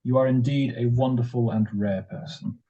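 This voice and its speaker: A man's voice: mid-range voice, steady tone, normal speed, mellow, natural voice. A man playing an affectionate, teasing young husband back from military service in a 1940s-style radio drama, wistful and a little hesitant. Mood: playful, affectionate. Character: tender, playful young returned serviceman husband. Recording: dull muffled audio, faint music.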